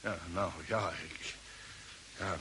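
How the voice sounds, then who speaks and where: An actor playing an earnest space expedition commander in a science-fiction radio drama, talking in a questioning, hesitant way. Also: male voice, deep voice, fairly even intonation, slow pace, sharp tone, heavily acted. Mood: doubtful. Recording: hissy old radio drama recording.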